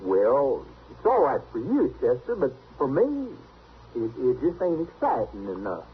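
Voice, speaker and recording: A man's voice: medium pitch, wildly swooping pitch, slightly rough, exaggerated voice. Swooping, folksy backwoods man. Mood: stubborn, earnest. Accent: rural American. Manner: halting, plain-spoken, folksy. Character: simple, earnest, stubborn backwoods man, younger brother. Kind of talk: old-time radio western acting. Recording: tinny, hissy old radio recording.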